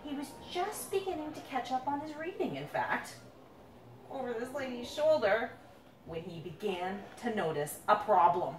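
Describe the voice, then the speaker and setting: A woman with a high voice reads a children's story aloud in an animated, swooping way. She is playful and dramatic, stretching words and putting questions to a young listener. The recording is roomy and distant, with some faint noise around her. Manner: dramatic build-ups with drawn-out words. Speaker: children's author reading her own picture book.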